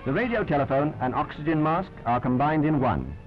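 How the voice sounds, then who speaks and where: A British man delivers 1940s wartime newsreel commentary in a fairly high, swooping voice. His manner is clipped and wryly understated, and the old soundtrack is muffled. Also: animated, a little fast, faint music behind. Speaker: newsreel narrator.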